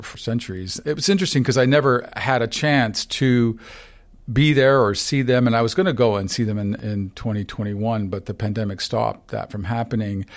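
A Canadian man in his sixties, a photographer answering interview questions in an earnest, rambling way with frequent restarts. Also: medium pitch. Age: 60s. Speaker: photographer guest.

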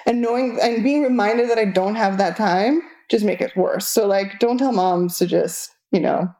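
A woman's voice: medium pitch, moderate pace. Candid young Argentine-born woman. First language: Spanish. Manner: candid, many "like" fillers, lively rises when exasperated. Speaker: interview guest. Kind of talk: casual interview chat.

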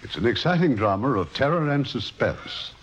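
A man's voice: mid-range voice, sing-song, normal speed. A man hosting a 1950s radio show with scripted banter and a showmanlike, theatrical delivery. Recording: dull old radio recording.

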